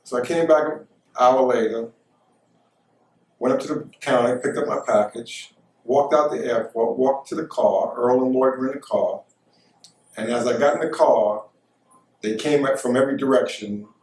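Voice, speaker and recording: A man's voice: medium pitch, normal speed, long pauses, darkish tone. Casual American male storyteller, middle-aged, recorded in an echoey room at some distance from the mic. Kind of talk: casual first-person anecdote telling.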